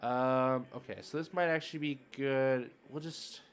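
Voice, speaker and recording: A man's voice: medium pitch, fairly even intonation, unhurried, clear, resonant tone, faint music behind. A man giving casual live commentary as a game streamer, thinking aloud in informal gamer slang. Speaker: streamer hosting card game matches.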